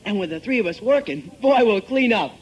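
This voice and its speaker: An eager American schoolboy in a vintage radio comedy, with a very high voice that bounces around. He is earnest and slangy, always protesting and pleading his case. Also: expressive, brisk, highs cut off. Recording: old-time radio recording from tape.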